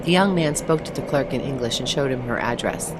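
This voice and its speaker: Low-pitched woman narrating a story. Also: brisk. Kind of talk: scripted short-story narration, read aloud. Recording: faint background noise.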